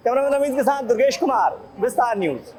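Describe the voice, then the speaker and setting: A man's voice: very high voice, expressive, fast, darkish tone. A man doing live vox-pop reporting from an outdoor street. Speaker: field news reporter. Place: outdoors at a traffic signal. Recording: busy outdoor street with crowd noise.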